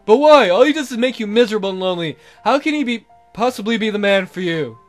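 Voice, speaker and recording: A man's voice: high voice, expressive, fast talker, soft background music. A man voicing a pushy, jealous male suitor in a romance visual novel, insistent and pleading. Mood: exasperated.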